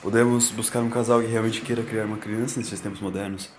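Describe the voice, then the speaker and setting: A man's voice: fairly low pitch, flat delivery, fast, a little mellow, slight background noise, lightly acted. A man voicing a flustered, reluctant male homeowner who speaks in a hesitant, formal, indignant way in an audio story. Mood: dismayed. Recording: echoey, with music behind.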